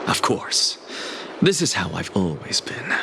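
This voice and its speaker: A man's voice: on the low side, very animated, unhurried, creaky, noisy background, full character voice. A man voicing a proud, stern scholar and teacher in a video game, with an imperious, cool and theatrical manner. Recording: music behind the voice.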